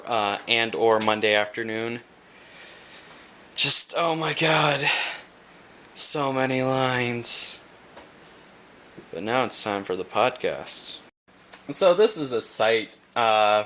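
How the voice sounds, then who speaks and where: A man's voice: mid-range voice, pushed and bright, slight background noise. A young man vlogging casually into a handheld camera, rambling and losing his train of thought. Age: young adult. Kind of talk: casual chat.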